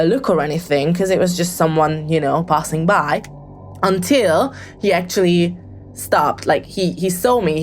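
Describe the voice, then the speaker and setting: A woman telling a true story in a voicemail, hesitant and full of ums and restarts. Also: on the low side. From Hungary. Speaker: caller leaving a voicemail. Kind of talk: casual spoken true story.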